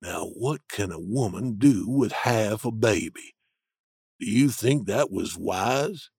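A man reading an audiobook, voicing a stubborn grown man who argues slowly and insistently from common sense. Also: lowish voice, animated, vocal fry, darkish tone, husky, no echo, studio quality, pitched below the performer's own voice, heavily acted. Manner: slow, insistent, arguing.

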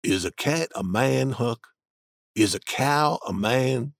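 A man reading an audiobook, voicing a stubborn grown man who argues slowly and insistently from common sense. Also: mid-range voice, lively intonation, creaky, dry recording, studio quality, pitched below the performer's own voice. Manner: slow, insistent, arguing.

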